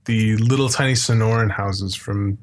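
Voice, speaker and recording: A man's voice: lowish voice, steady tone, normal speed, a little hiss or hum. A middle-aged American man from Phoenix talking casually and unscripted as a podcast co-host, in a rambling, sweary way that piles up repeated phrases. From Phoenix, Arizona.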